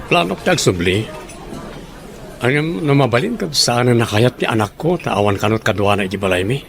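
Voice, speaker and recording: A man's voice: mid-range voice, animated, moderate pace, creaky, music in the background. A man playing an amiable older suitor in a radio drama, speaking with an Ilocano accent. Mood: courting.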